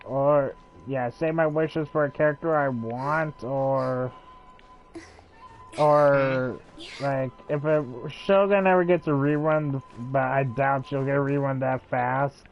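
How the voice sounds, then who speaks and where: A man's voice: mid-range voice, steady tone, takes it slowly, gravelly, dull audio. A man giving casual live gameplay commentary as a streamer, chatting as he plays. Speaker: game streamer. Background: game music.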